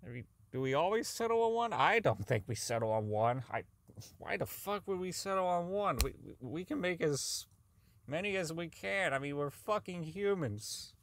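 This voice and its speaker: A man's voice: a little high, animated, moderate pace, a bit bright. A man playing a socially inept, unravelling robot designer in an audio drama log, rambling, stammering, swearing and repeating himself. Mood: agitated, defensive.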